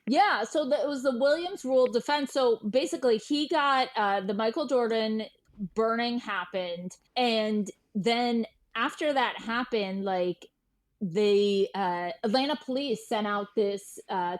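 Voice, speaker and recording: A woman's voice: on the high side, measured pace. A woman speaking as an interviewed journalist, recounting events in an informal, emphatic way and repeating words for stress. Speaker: guest journalist and writer. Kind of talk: conversational interview storytelling.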